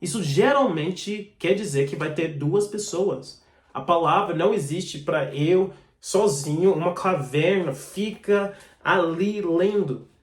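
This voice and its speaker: A man's voice: high voice, slow pace. A man preaching a sermon in Portuguese with a foreign accent. He teaches in an earnest, direct way and reads out scripture verses. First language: not Portuguese. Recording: echoey room.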